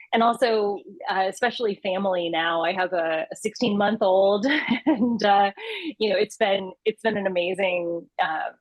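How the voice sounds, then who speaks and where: Woman in her 30s, breathy low-ish voice, podcast guest, lots of um and you know, dry sound. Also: no room sound, low-quality recording. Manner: hesitant restarts, many fillers. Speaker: guest, customer insights lead. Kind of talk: interview conversation.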